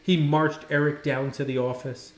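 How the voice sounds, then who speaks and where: A man narrating a children's storybook expressively, with dramatic, deliberate phrasing and pauses. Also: fairly high pitch, level tone. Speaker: storybook reader. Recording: roomy, echoing indoor sound.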